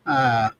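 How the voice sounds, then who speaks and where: A man's voice: mid-range voice, fairly bright tone. A man hosting a live video chat show, talking casually with informal, jokey banter. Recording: clear background noise.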